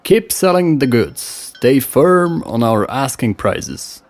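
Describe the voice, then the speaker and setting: Man doing a bossy norse captain, loud and firm. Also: medium pitch, big pitch swings, takes it slowly, voice pushed up. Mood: forceful. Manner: commanding, emphatic. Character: forceful Norse male crew leader, stern.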